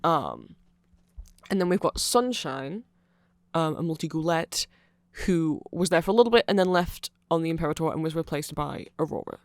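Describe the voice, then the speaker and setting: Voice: medium pitch, lively intonation, normal speed, no room sound. Rambling ASMR explainer, close and dry. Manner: nerdy, rambling, trailing asides and self-corrections. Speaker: ASMR audio creator. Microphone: close microphone in a dry space.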